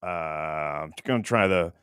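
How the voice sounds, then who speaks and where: A man's voice: sits low, a little slow, fairly bright tone, clean tone, dry recording, studio quality. A man hosting a livestream in casual chat, reading out comments and rambling in a self-deprecating way. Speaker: livestream host.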